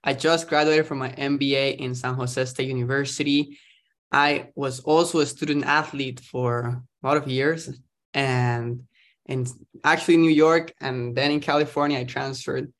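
A man's voice: medium pitch, fairly even intonation, normal speed, fairly bright tone. A young man, chatting upbeat as a podcast guest. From Costa Rica. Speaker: guest, startup founder. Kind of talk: casual interview chat.

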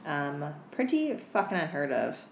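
Female co-host, low register with lively inflection, casual, teasing and slangy, in a roomy-sounding space. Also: a little slow, creaky, a little hiss or hum. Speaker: podcast co-host. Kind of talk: casual chat. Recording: roomy, echoey room.

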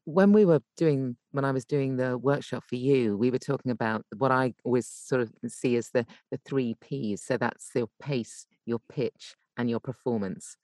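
A woman with a low voice explains technique as a voice coach on a podcast. She thinks aloud, repeating and rewording her phrases. The sound is dry, with very little room in it. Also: normal speed, few pauses, a little mellow, no room sound. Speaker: guest voice coach and voice actor.

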